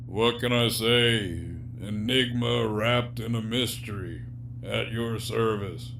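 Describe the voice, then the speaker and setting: A man's voice: on the low side, steady tone, slow pace, a bit bright. A man acting in an audio drama as a jaded, sardonic, despairing prisoner villain with a profane, wry, teasing drawl. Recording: faint background hum and noise.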